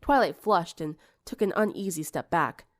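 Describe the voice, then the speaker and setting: A woman's voice: on the low side, animated, normal speed. A woman reading scripted fiction aloud as an audiobook narrator.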